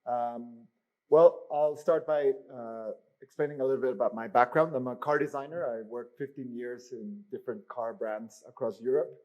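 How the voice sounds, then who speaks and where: A man from Mexico, a startup founder, opening a pitch on a conference stage with polite thanks and a few hesitations. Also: moderate pace, darkish tone, poor audio. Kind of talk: pitch presentation.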